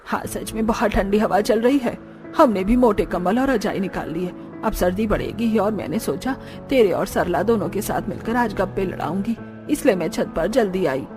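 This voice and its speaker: A woman's voice: mid-range voice, animated, normal speed, over music, close to an everyday voice. A woman voicing an older village mother-in-law in a cartoon, sociable, gossipy and used to directing others. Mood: gossipy, cheerful. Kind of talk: Hindi cartoon story dialogue.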